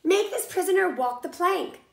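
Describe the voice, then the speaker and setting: A woman's voice: very high voice, expressive, moderate pace, creaky, pitched above the performer's own voice, exaggerated voice. A woman voicing a mean, blustering male pirate bunny captain who barks in pirate slang for a children's storybook. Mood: bossy, barking. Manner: barking orders in pirate slang. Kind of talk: children's storybook read-aloud. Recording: roomy echo.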